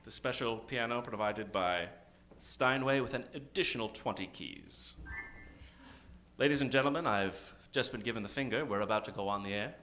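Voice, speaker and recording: A man hosting as a theatrical mock old-time radio announcer, speaking dramatically with suspenseful pauses and sudden emphasis. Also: lowish voice, noisy background. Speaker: announcer and host of an improvised radio show. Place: theater stage. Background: live keyboard music.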